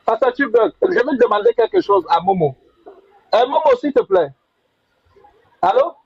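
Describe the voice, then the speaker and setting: A man's voice: very high voice, wildly swooping pitch, moderate pace, gravelly, slightly rough. A man hosting a heated online debate, insistent and repeating himself as he presses the other speaker. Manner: insistent, repeats words and pleads. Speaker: debate host. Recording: muffled with loud noise.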